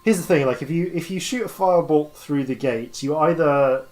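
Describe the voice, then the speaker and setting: A man running a tabletop game as game master, describing scenes off the cuff and haltingly as he thinks aloud. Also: a little high, darkish tone, soft background music. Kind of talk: improvised narration of a tabletop game.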